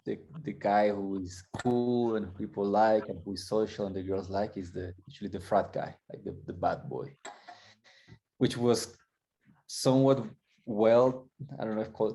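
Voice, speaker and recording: A man from Colombia, a guest coach, telling his story candidly and reflectively, halting and restarting as he goes. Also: on the low side, dark tone.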